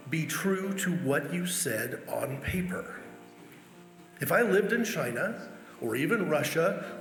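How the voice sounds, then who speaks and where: Guy reciting speech quotes, highish voice, big echoey room, faint music behind. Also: fairly bright tone. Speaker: church member reading quotes. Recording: echoey hall, music underneath.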